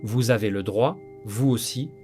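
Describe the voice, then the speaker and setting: A man's voice: on the low side, unhurried, a little mellow, faint music behind. A man narrating scripted commentary over clips in a blunt, lecturing way. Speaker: commentary channel host.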